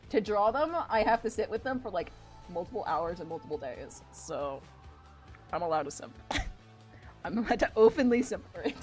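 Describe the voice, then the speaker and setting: A woman streaming as she draws, chatting casually in an animated, gushing way and swearing freely. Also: mid-range voice. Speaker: streamer and artist drawing live. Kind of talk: casual livestream chat.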